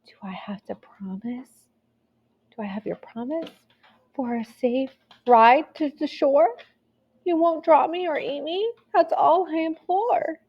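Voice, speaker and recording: Woman voicing a cheeky, high little gingerbread girl. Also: slow, lo-fi sound. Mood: timid, pleading. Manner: sing-song, teasing, rhyming delivery. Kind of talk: storybook read-aloud.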